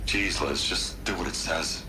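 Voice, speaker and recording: A man's voice: deep voice, unhurried, background noise. A man acting a blunt, skeptical tech tycoon in a video game, argumentative and exasperated. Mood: grudging.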